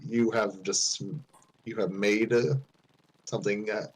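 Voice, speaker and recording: A man's voice: lowish voice, steady tone, a little slow, slightly rough, low-quality recording. A man hosting a casual podcast discussion about a story. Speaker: podcast host.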